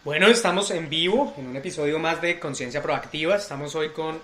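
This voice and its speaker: Higher-voiced man hosting a podcast. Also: fairly quick, fairly bright tone. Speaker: podcast host and interviewer. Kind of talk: scripted introduction, then conversational interviewing.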